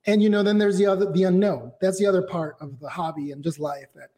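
High-voiced reflective man, interview guest. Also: quick pace, warm tone. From Mexico. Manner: reflective, talks in long winding sentences with fillers. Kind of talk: casual interview.

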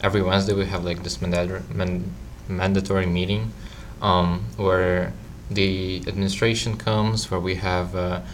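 Deep, flat-voiced teenage boy from Ukraine. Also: a little hiss or hum. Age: teens. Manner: measured, with many ums and uhs. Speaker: student guest. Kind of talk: casual interview answers.

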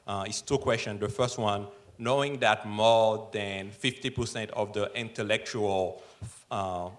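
A man with a somewhat low voice, born in Haiti, puts a formal question to the panel from the audience. He is courteous and lays out his points in order. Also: a bit bright. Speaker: audience member asking a question.

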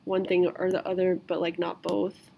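A woman's voice: on the low side, monotone, moderate pace, gravelly, background noise. A young woman in her twenties from the United States, hosting a casual podcast chat and filling her talk with "like". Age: 20s. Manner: says "like" constantly, loose and chatty. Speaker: podcast host.

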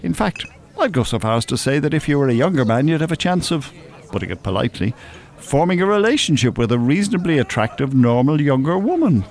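An actor playing a pedantic, drily mocking older gentleman in a radio drama, speaking formally and wordily. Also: a little high, big pitch swings, fast talker. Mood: wry. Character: wry, pedantic, well-spoken older gentleman, teasing.

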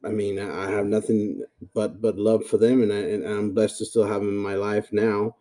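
Male podcast guest, forties, from El Salvador, very mellow dark tone, halting, reflective, courteous. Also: fairly low pitch, flat delivery. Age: 40s. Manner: halting, thoughtful, polite. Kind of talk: reflective conversational interview.

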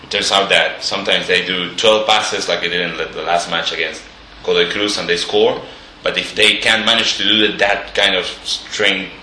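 A man's voice: sits low, steady tone, moderate pace, hardly any pauses, pushed and bright, roomy sound, slight background noise, poor audio. A man co-hosting a casual football podcast from his living room, analysing matches in a hesitant way that stumbles at the start of his points. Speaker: podcast co-host.

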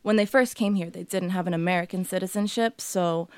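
A young woman with a smooth, clear voice talks easily as a podcast guest, leaning on "like" as she goes. Portuguese is her first language, and the recording is dry and close. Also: lowish voice, a little fast, no echo. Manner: relaxed, many "like" fillers. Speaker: guest artist. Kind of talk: casual interview chat.

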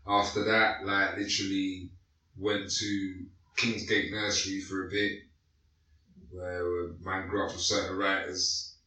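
A man's voice: deep voice, flat delivery, measured pace, sharp tone, recorded from a distance. A man in his late thirties with a London accent, telling his life story in loose, slangy casual chat full of fillers. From North West London, England. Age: late 30s. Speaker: guest. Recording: echoey room, away from the mic.

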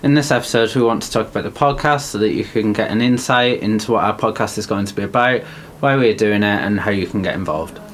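A young man from the north of England co-hosts a podcast in a fairly low voice. He takes his time, leaning on ums and likes as he thinks aloud. A faint hiss runs beneath the recording. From Wigan, England. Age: young adult. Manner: slow, thoughtful, filler-heavy. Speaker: podcast co-host. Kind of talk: casual chat.

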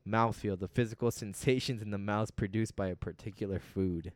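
An easygoing young man co-hosting a podcast, telling stories in relaxed banter. His voice is lowish and smooth. The sound is dry and close to the mic. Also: brisk, dry recording. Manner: easygoing, laughs, tells stories. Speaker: podcast co-host. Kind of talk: casual banter.

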